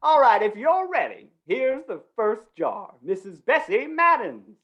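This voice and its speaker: A woman's voice: fairly high pitch, very animated, dull audio. A woman playing a flustered, folksy, dithering small-town announcer in an old-time western radio play. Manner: dithering, halting, folksy, repeats words.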